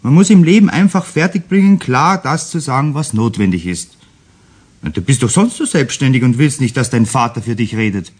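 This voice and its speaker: Casting: middle-aged stern dad, husky, animated delivery, admonishing, children's radio play. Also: male voice, medium pitch, fairly quick. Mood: lecturing. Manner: firm, admonishing. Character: stern, impatient father lecturing his young son.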